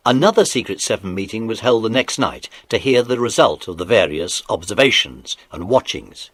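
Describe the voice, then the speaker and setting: A man's voice: on the low side, lively intonation, normal speed, fairly bright tone, dry recording. A man playing the narrator of a children's adventure audio drama. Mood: storytelling. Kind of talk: scripted children's story narration.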